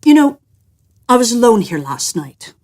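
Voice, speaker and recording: A woman voicing a hesitant gentleman confiding a tale at his club in a storybook reading. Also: on the high side, very animated, moderate pace.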